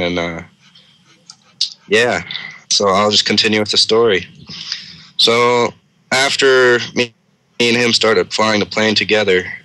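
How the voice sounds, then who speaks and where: Male co-host, very deep but bright and forceful, telling an improvised story casually. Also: dry recording, low-quality recording.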